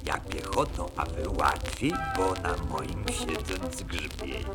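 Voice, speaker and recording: A man's voice: low-pitched, slow pace, voice pushed down. A man voicing a grateful, kindly young elephant in a solemn, affectionate storybook manner. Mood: friendly offer. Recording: vinyl record with music behind.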